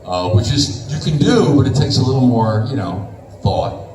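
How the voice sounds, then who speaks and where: Panel guest, man in his sixties, lively pitch, roomy hall sound from a distance, noisy. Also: moderate pace. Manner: animated storytelling with laughs and acted-out quotes. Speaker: convention panel guest, voice actor. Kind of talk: casual panel conversation. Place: convention panel room.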